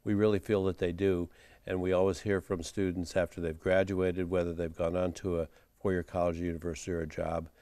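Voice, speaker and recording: A middle-aged man with a very low voice speaks in a measured, formal register, pausing on fillers as he builds long answers. The sound is dry and close, with no room around it. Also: flat delivery, dark tone, no room sound. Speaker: college administrator guest. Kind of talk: studio interview answers.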